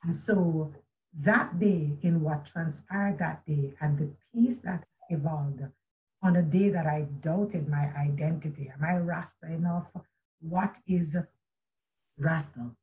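Low-voiced woman telling a story. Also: takes it slowly, darkish tone, low-quality recording. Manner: earnest, reflective, with many restarts and quoted speech. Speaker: author and guest. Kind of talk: storytelling in a video call interview. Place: room at home with a chandelier. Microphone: video call webcam.

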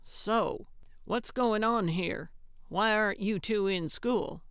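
A woman's voice: medium pitch, slow, smooth, dry recording, studio quality. A woman voicing a brusque, cranky older woman in an audiobook, snappish and blunt but well-meaning. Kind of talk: audiobook character acting.

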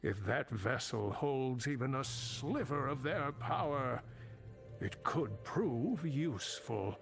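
Slow, creaky old male scholar. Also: medium pitch, expressive, soft background music. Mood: solemn. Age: older. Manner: halting, solemn, deliberate. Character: old male scholar, grave, weary, worried mentor. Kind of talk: dramatic video game dialogue.

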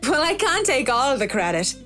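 A woman voicing a polite, reserved young professional in a visual novel game, speaking in a measured, businesslike way. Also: high-pitched, very animated, a bit bright, soft background music.

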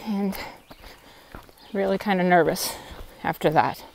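A woman's voice: on the low side, slow, gravelly, a little mellow, slight background noise. A woman hiker talking casually and candidly to a handheld phone camera while walking a forest trail outdoors. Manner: chatty, candid, a little tired. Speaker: thru-hiker vlogger. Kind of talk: casual walking vlog narration.

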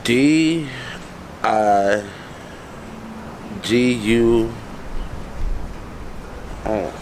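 A man's voice: mid-range voice, extremely slow, darkish tone, low-quality recording. A man competing in a casual spelling contest, bantering and spelling words aloud outdoors. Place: outdoor back deck. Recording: clear outdoor background noise.

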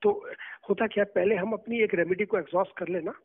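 High-pitched Indian man on a muffled phone line. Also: brisk, breathy edge. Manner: code-switches between Hindi and English, reassuring. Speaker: government office official taking a public call. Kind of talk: phone conversation, giving advice. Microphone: telephone. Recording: muffled, narrow-band recorded phone call.

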